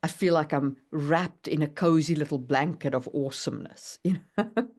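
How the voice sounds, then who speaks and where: A woman's voice: low-pitched, moderate pace, a little mellow. A woman co-hosting a podcast in casual conversation. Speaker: podcast co-host.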